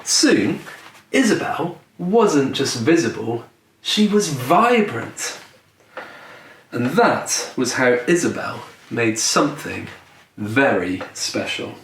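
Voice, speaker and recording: Male storyteller for a picture-book read-aloud: unhurried, lively intonation, deliberate pauses, recorded in a roomy space. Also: mid-range voice. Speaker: children's author reading own book aloud. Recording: roomy echo, distant mic, faint noise.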